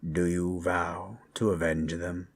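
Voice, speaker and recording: A man voicing a solemn, measured sage who speaks formally and gravely in a fantasy audio roleplay. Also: low voice, little pitch movement, full character voice.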